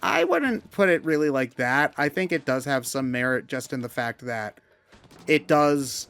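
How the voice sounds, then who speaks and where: Male game commentator, medium pitch, conversational, talks through his points in a loose and wandering way. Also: animated, a little slow, fairly bright tone, clean tone. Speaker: commentator on a gaming show. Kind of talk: casual discussion of video games.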